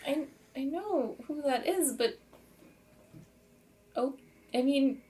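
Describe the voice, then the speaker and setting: A woman's voice: high voice, lively intonation, slow pace, mellow. A woman playing an indignant, sarcastic teenage daughter in a tabletop game, protesting and blurting things out.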